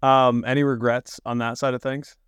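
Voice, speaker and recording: Smooth-voiced sniffly male podcast host. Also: medium pitch, little pitch movement, normal speed, a bit bright, dry recording. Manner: chatty and enthusiastic, with fillers. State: sick, sniffling. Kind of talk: casual podcast intro and interview. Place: office.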